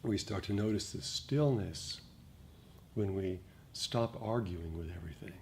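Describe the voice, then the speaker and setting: A man giving a dharma talk as a meditation teacher, speaking reflectively and gently, with frequent pauses. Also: low-pitched, vocal fry. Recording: noticeable background noise.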